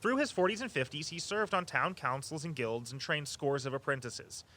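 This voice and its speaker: An actor playing an eager, earnest walking-tour guide who tells local history with a storyteller's flair. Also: male voice, fairly high pitch, lively intonation, normal speed, sharp tone. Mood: theatrical, storytelling. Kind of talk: audio drama dialogue, storytelling tour patter.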